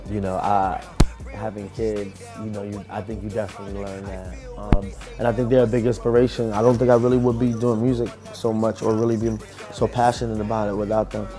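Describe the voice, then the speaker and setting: A man's voice: lowish voice, fairly quick, music in the background. A young man, a rapper telling loose, rambling stories in a casual interview. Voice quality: smooth. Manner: loose, rambling, animated storytelling.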